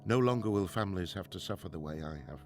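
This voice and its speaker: Radio drama lead: male actor as grieving, driven middle-aged professor, formal 1920s manner, low gravelly voice. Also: lively intonation, darkish tone, faint music behind. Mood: sorrowful, solemn. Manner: formal, earnest, halting when troubled. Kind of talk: radio drama acting with diary narration.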